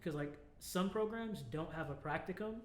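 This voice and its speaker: A man's voice: a little high, normal speed, faint music behind. A young American man co-hosting a podcast, chatting casually and informally, often dropping in the word "like". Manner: informal, peppers speech with "like". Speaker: podcast co-host. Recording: echoey room with faint background noise.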